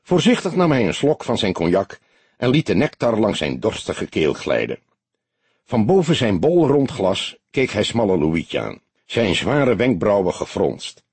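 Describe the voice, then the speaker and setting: A man's voice: on the low side, sing-song, a little slow, darkish tone, slightly rough, no room sound. A man reading a story aloud as an audiobook narrator, in scripted narration.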